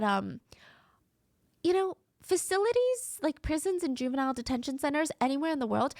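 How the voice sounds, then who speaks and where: A woman hosting a true crime story while fighting a cold, so her voice comes out stuffy and nasal. She sits a little high for a woman and keeps a gravelly fry in her tone. She chats to her viewers and throws questions at them, recorded dry and close. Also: no room sound. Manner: conversational, asks the audience questions.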